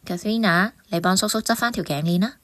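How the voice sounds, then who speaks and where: A woman's voice: mid-range voice, fast talker, fairly bright tone, no echo, close to an everyday voice. A woman playing a friendly, chatty young mother in a Cantonese radio drama, with a Hong Kong accent.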